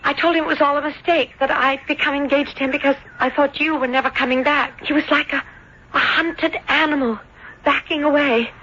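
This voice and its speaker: An actress playing an anxious, devoted young sweetheart in an old-time radio drama, fretful and emotional. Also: female voice, high-pitched, steady tone, fairly quick, bright, forceful tone, muffled recording. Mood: fearful. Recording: thin, hissy old radio recording.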